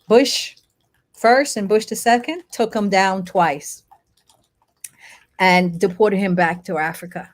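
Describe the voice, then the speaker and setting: A middle-aged woman born in Haiti and raised in the United States, explaining history as an interview guest in emphatic, short phrases broken by pauses. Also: medium pitch. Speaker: guest speaker, lawyer and activist.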